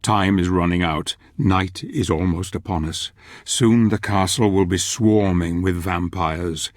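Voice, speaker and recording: A man acting as a solemn older narrator of a gothic fantasy game, telling the tale in a grave, measured, theatrical way. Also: low voice, soft background music. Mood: foreboding. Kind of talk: scripted dramatic narration.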